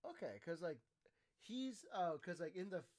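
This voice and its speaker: Halting man explaining game lore. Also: high voice, sing-song, darkish tone. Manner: halting, many fillers and restarts while explaining. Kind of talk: casual reaction chat.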